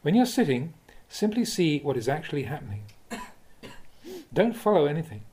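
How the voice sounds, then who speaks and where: A man, a Buddhist monk, reading spiritual teachings aloud in a measured, deliberate, instructive way, like an audiobook of a talk. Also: fairly high pitch, sing-song, mellow. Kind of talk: audiobook-style reading of a dharma talk with questions and answers.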